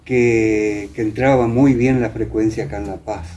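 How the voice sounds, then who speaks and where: An older Argentine man recalls the past as an interview guest, in an Entre Ríos accent. He talks haltingly, with a warm, dark tone at a middling pitch. There is noise behind his voice. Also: fairly even intonation. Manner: hesitant, pausing often. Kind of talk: reminiscing in conversation. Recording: clear background noise.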